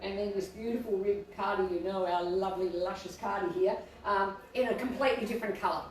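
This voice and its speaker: A woman with a quiet voice co-hosting a fashion live stream, bubbly and rambling. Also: mid-range voice, steady tone, quick pace. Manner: bubbly, rambling, self-deprecating. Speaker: fashion boutique co-host. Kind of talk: casual live-stream chat. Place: echoey room. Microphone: no mic, far from laptop camera. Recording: echoey room, far from mic, faint hiss.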